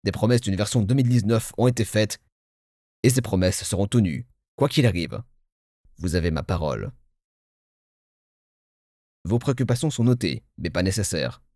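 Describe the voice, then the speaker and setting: A man playing a pompous, self-satisfied game narrator with formal, measured, grandiloquent delivery. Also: on the low side, lively intonation, warm tone, no room sound, clean studio sound. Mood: reassuring, self-assured. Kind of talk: theatrical scripted game narration in French fan dub.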